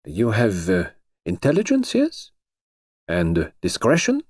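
A man voicing a brisk, mysterious foreign gentleman who speaks in crisp, clipped, formal phrases. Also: on the low side, wildly swooping pitch, dry recording, studio quality, heavily acted.

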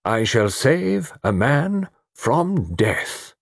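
Man voicing an earnest, excitable storybook gentleman, pitched up. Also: normal speed, husky, no room sound, clean studio sound, full character voice. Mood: important. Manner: exclaiming, earnest. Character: earnest, easily astonished gentleman, humble yet self-important. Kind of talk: audiobook character dialogue.

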